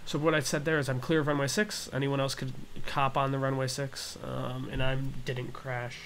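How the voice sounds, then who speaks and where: A man's voice: medium pitch, flat delivery, moderate pace, a bit bright, slight background noise. A man giving a casual flight simulator tutorial, explaining each step in an offhand, hesitant way. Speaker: gaming tutorial host.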